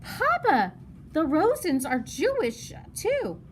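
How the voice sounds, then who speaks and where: A woman's voice: extremely high pitch, wildly swooping pitch, slow pace, voice pushed up, heavily acted. A woman reading a children's novel, voicing an earnest, curious ten-year-old girl. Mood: alarmed. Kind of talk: children's novel read-aloud. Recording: noticeable background noise.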